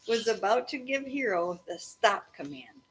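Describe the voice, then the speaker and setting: A woman narrates a children's storybook at an unhurried pace. Her delivery is expressive and engaging, aimed at a young listener. The recording carries noticeable ambient noise behind her voice. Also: mid-range voice, rough recording. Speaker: reader reading a children's book aloud to a child.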